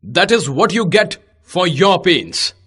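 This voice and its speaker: A woman voicing a proud, hot-tempered king in a children's story, with dramatic, deliberate delivery. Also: on the low side, very animated, a bit bright, slightly rough, heavily acted. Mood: grim. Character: proud, hot-tempered king scolding his hawk, later remorseful.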